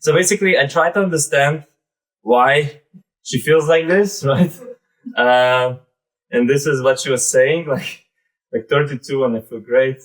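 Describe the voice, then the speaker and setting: A man in his thirties with a Belarusian accent gives an informal live presentation in a halting, conversational way, often saying "like" and "basically". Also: medium pitch, a bit bright, low-quality recording. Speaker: presenter, startup founder.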